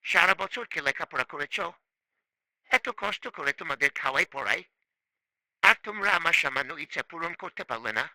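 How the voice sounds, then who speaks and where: A man's voice: a little high, animated, normal speed, pushed and bright, dry recording, rough recording. A man playing a stern, frustrated middle-aged father in a cartoon, scolding and complaining yet loving. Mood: angry, reproachful. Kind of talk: amateur cartoon voice acting.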